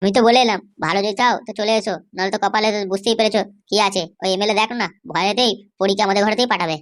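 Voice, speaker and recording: A woman's voice: mid-range voice, racing, natural voice. A woman voicing a boastful, defiant schemer in a comedy cartoon, full of confident threats. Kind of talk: exaggerated cartoon dialogue.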